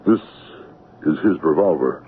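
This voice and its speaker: A low, slow voice on a thin old radio recording: a Congolese servant to colonial traders. He is respectful, grave and composed, measuring out his words in a vintage radio drama. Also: expressive. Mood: solemn, conspiratorial. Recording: band-limited tinny vintage radio broadcast, faint noise.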